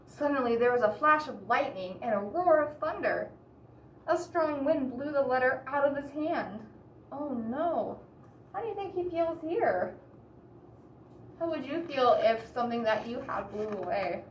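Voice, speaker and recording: Higher-voiced woman reading a storybook, roomy echo. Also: expressive, a little slow. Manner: asks the listeners questions and explains gently. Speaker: children's story reader. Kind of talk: expressive picture-book read-aloud with asides to young listeners. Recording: roomy echo, distant mic, background hiss.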